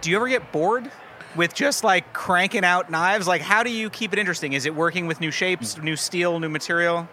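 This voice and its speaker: A man's voice: high voice, a little fast, pushed and bright, a little hiss or hum. A male interviewer asking curious questions in a casual way, with frequent fillers. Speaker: interviewer host.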